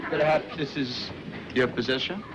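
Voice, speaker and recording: A man's voice: mid-range voice, moderate pace, over a noisy crowd, heavy background noise, lightly acted. An actor playing a pompous detective in a fake Belgian accent, fussy and hesitant in his mock-formal speech. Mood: probing. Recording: sitcom tape, loud audience laughter.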